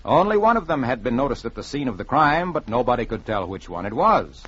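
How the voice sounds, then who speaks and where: Male storyteller for vintage radio: expressive, slightly rough voice, measured drama, muffled old recording. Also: mid-range voice. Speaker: narrator.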